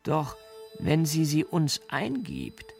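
An actor playing a provocative philosopher in a radio drama, declaiming a treatise deliberately and rhetorically. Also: male voice, fairly high pitch, wildly swooping pitch, gravelly, a little mellow, full character voice. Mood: insistent, provocative. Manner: rhetorical, deliberate, posing questions. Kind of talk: dramatic literary reading for radio. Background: music.

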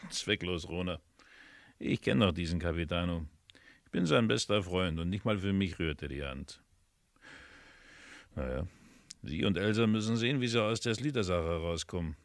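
Chatty low-voiced small-time crook. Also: male voice, moderate pace, pauses often, no room sound. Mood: sardonic. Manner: chatty, sardonic. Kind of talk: 1970s German radio crime drama.

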